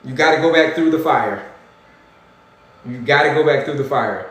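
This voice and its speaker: A man in his forties, an energetic health coach hosting a livestream, animated and repetitive as he preaches to viewers and reads chat comments. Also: medium pitch, normal speed. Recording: very echoey room.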